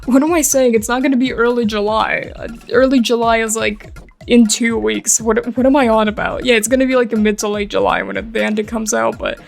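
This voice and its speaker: A woman's voice: on the high side, fairly quick, vocal fry. A young woman with an American accent giving casual, chatty commentary in a rambling, self-deprecating, giggly way. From England and Eastern Europe, later New York. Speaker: art YouTuber. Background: background music.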